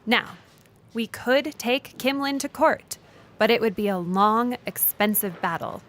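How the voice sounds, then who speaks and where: A woman playing an earnest, absurdly literal lawyer, formal and deadpan, in an audio comedy sketch. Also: a little high, a little slow. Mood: formal, sympathetic.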